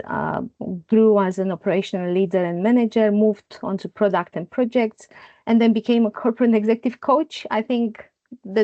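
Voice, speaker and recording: A woman's voice: mid-range voice, dark tone, no room sound, highs cut off. A middle-aged woman with a Romanian accent gives an informal presentation as a guest speaker, in a hesitant, rambling way. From Bucharest, Romania. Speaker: guest speaker, coach and facilitator.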